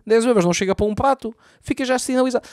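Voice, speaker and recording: A man in his thirties from Lisbon with a Lisbon Portuguese accent, hosting a solo podcast as a comedian, ranting profanely with big gestures into a close boom mic in a small room at home. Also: rapid-fire, darkish tone, no room sound. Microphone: boom mic close to mouth, wearing headphones.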